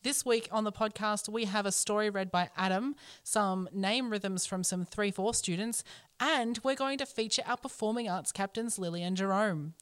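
A woman's voice: mid-range voice, moderate pace, dry recording, studio quality. A woman presenting a school podcast in a scripted introduction style.

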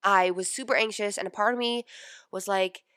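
A woman's voice: mid-range voice, steady tone, normal speed, a bit bright, no echo, studio quality. A young woman in her twenties with a stuffy nose, hosting her own podcast in a casual, chatty way, full of "like" and "um". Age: 20s. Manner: chatty, lots of "like" and "um". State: stuffy nose from a sinus infection. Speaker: podcast host. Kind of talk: casual personal podcast chat.

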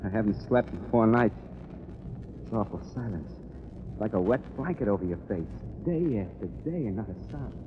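A man's voice: lowish voice, lively intonation, normal speed, lightly acted. An actor playing an uneasy, weary ordinary city man, talking colloquially in a 1930s radio drama. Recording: tinny, noisy 1930s radio recording.

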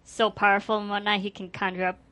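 A woman on a casual fan panel works through her ideas out loud. She repeats words as she thinks. Her voice sits at an average pitch. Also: flat delivery, fast talker. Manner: hesitant, repeats words while thinking aloud.

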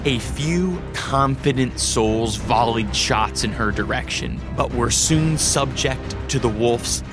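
A man's voice: medium pitch, takes it slowly, music in the background, lightly acted. A man narrating a gothic adventure radio drama in a solemn, literary, dramatic manner.

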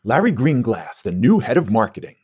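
Slick, animated marketing chief. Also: male voice, medium pitch, fairly quick, breathy edge. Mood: eager pitching. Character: eager, slick new head of marketing. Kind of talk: campy audio drama acting.

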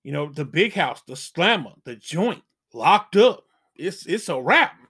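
A man's voice: fairly high pitch, very animated, measured pace, a bit bright, slightly rough. A middle-aged man hosting a channel, giving casual dating advice as an emphatic, preachy warning full of slang.

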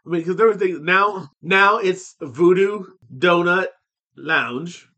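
Man, podcast co-host, somewhat high voice, rambling, trails off and restarts. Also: slow pace, sharp tone. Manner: rambling, many fillers and restarts. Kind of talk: casual podcast chat.

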